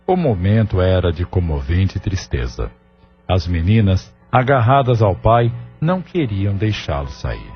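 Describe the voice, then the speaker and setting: A man's voice: deep voice, very animated, a little slow, heavily acted. A Brazilian man narrating a sentimental audio novel in a solemn, scripted style. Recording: dull lo-fi audio under music.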